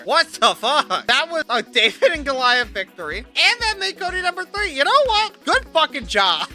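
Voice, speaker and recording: Excitable, high-voiced man calling a game. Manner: excitable, swearing, laughing, swings from mumbling to yelling. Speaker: gaming YouTuber. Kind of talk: casual wrestling game commentary.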